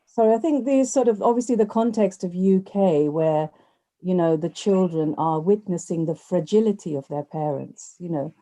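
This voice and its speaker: Fields: Woman. Role: actress interviewee. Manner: warm chatty, mellow voice. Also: on the low side, moderate pace. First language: not Urdu. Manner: chatty, many "you know" fillers. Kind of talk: conversational interview.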